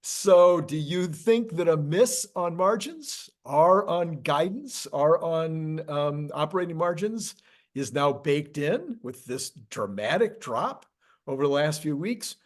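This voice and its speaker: A male show host with a slightly high-pitched voice talks casually about the markets. His manner is jovial and easygoing, as in a relaxed chat. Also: takes it slowly. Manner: jovial, laughs often.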